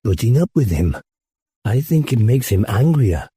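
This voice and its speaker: A man's voice: mid-range voice, very animated, normal speed, dark tone, breathy edge, no room sound. Guy doing a reasonable young pal, bit hesitant n tactful, dry close audiobook sound. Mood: tactful concern. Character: diffident, reasonable young male friend of higher rank. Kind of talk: audiobook character acting.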